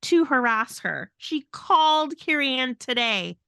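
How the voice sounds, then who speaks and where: A woman with a bright, forceful voice, pitched a little high, hosts a casual podcast. She is blunt and exasperated, stressing her points. The recording is close and dry. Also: animated, unhurried, creaky, clean tone, no echo. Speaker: podcast host. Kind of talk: casual venting podcast chat.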